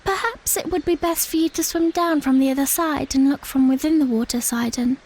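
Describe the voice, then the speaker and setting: A woman playing a scholarly, caring young fantasy princess in an audio drama, with an expressive delivery. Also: sits high, a little fast. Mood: encouraging. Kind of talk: cinematic audio drama acting.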